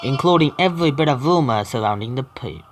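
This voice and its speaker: A man hosting a gaming video, reading story text aloud haltingly with stumbles and adding casual asides. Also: mid-range voice, lively intonation. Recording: faint game music behind. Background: faint video game music.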